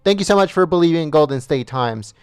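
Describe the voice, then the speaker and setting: A man with a high, smooth voice hosts a livestream, reading off viewer names one after another and thanking each the same way. His voice is wearing thin, and he trips now and then over names. The sound is dry and close to the mic. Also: expressive, fast talker, a little mellow, no room sound. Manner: repetitive, reads names with small stumbles. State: losing his voice. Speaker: livestream host.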